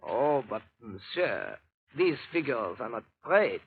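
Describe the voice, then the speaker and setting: Animated, proud Frenchman, old radio. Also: medium pitch, slow, vocal fry, slightly rough, full character voice. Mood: smooth, proud. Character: suave, proud French guardian of wax figures. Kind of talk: 1930s radio drama acting. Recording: hissy, narrow-band 1930s radio recording.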